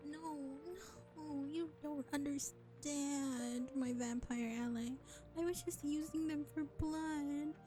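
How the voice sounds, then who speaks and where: A woman playing a sly, self-serving vampire bar owner in an audio roleplay, speaking in a sardonic, condescending drawl with deliberate pauses. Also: sits high, steady tone, music in the background. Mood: mock pleading, smug. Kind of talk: scripted audio roleplay acting addressed to listener.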